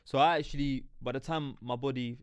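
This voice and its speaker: A man, talking things through reflectively in a relaxed chat. He rambles, restarts and leans on fillers as he goes. His voice sits at a middling pitch, recorded close and dry. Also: brisk, clear, resonant tone, no echo. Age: 20s. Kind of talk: casual chat.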